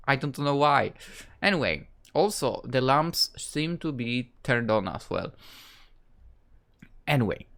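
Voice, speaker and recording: A man's voice: medium pitch, a bit bright. A young man from Poland with a Polish accent, playfully chatting and reading game dialogue aloud in a casual let's play. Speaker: gaming YouTuber.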